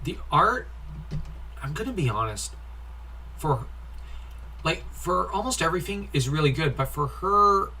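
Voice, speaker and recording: Slow-talking man with a bright, critical edge. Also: a little high, lively intonation, slight background noise, rough recording. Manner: nitpicky, critical, sighing. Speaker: comic book reviewer. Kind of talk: informal comic review commentary with read-out dialogue.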